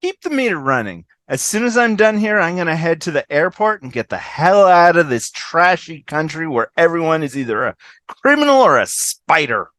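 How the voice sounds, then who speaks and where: A man's voice: fairly high pitch, big pitch swings, moderate pace, a bit bright, no room sound. A man in an amateur table read over a video call, playing a brash, selfish, sarcastic woman with snarky emphasis. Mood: brisk, irritated.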